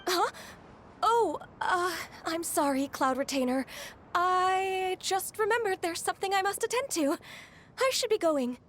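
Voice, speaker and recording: Very high, timid young secretary. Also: female voice, lively intonation, unhurried, a bit bright, a little hiss or hum, full character voice. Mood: flustered, evasive. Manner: apologetic, hesitant, deferential. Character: polite, flustered, dutiful young female secretary. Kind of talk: video game character acting.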